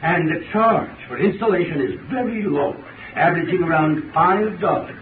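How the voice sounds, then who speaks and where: An earnest, formal heating expert played by a man gives a scripted 1930s radio advertisement. His voice is a little high. It comes through echoey and tinny, over clear noise. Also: normal speed, recorded from a distance, close to an everyday voice. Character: courteous male heating expert giving a sales talk.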